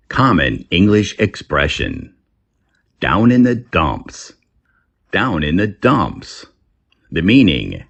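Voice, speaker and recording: A man with a low, husky voice reads instructional material in short, deliberate phrases. His delivery is slow, with very lively, swooping intonation. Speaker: reader and English teacher. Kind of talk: scripted instructional reading.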